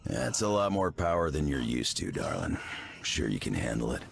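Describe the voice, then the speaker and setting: Gravelly, very deep, gruff superhero. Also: male voice, huge pitch swings, fairly quick, exaggerated voice. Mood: gruff, caring. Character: gruff, world-weary male superhero with claws. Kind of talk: video game voice acting.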